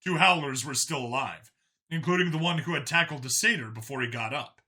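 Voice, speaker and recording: A man's voice: a little high, a little fast, sharp tone. A man narrating a scripted action story in a dramatic style.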